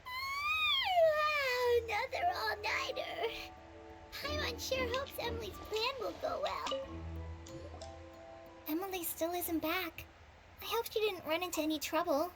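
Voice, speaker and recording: A woman voicing a small, childlike, excitable fairy companion in an anime-style video game, with a squeaky, eager delivery. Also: takes it slowly, pauses often, full character voice. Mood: concerned, thoughtful. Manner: eager, exclamatory, refers to self in third person. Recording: loud game music behind.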